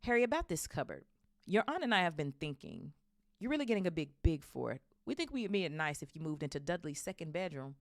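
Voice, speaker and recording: A woman's voice: low-pitched, very animated, quick pace, no echo, clean studio sound. A woman voicing a blustering, bullying middle-aged uncle in a storybook reading, with a put-on English accent and a barking, sputtering manner. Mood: forced, curt. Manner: barking, snapping, sputtering when alarmed.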